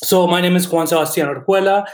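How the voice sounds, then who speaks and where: A man's voice: high-pitched, quick pace, reverberant. A man in his twenties from Colombia, a veterinary student answering interview questions in an earnest, thoughtful way with frequent restarts.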